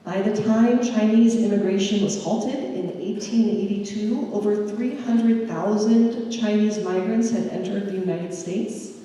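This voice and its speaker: A woman giving a public history lecture, telling a migrant's story in a measured, unhurried way. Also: medium pitch, steady tone, mellow. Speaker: history presenter. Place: lecture hall. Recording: echoey hall from far off.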